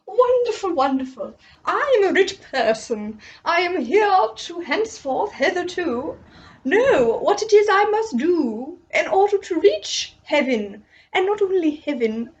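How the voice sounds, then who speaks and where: A woman playing a pompous rich young man who speaks in high, lofty tones and piles on grand words. He is smug and self-important, and the recording is slightly lo-fi. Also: exaggerated voice.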